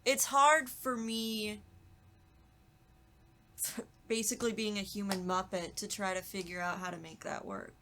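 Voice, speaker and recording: A young adult woman, a voice actress guest, chatting casually in an animated, giggly, self-deprecating way. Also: mid-range voice, unhurried, pauses often, a little hiss or hum. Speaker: voice actress guest on a podcast talkback. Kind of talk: casual chat.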